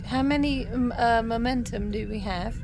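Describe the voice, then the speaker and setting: High-voiced woman bantering at a game, music behind. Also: normal speed. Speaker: tabletop game player. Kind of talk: casual, joking game banter.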